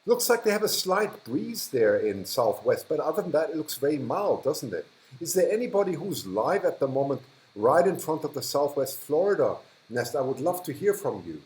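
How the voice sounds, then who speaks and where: A man's voice: fairly high pitch, big pitch swings, moderate pace, darkish tone, roomy sound, rough recording. A man with a German accent hosting a casual livestream, chatting with viewers, laughing often and trailing off mid-sentence. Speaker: livestream host. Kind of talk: casual live chat reading viewer comments.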